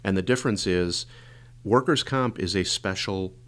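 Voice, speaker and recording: Brief hoarse male podcast host, very low voice, loose rambling delivery. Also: moderate pace, a bit bright. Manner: rambling, filler-heavy explaining. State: hoarse and congested. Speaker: podcast host, injury lawyer. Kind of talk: casual podcast monologue.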